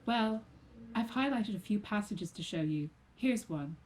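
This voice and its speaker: A woman acting as a stern factory supervisor in a radio play. Her voice is dark and mid-pitched, with a reproachful, sarcastic edge. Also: expressive, measured pace, natural voice, poor audio.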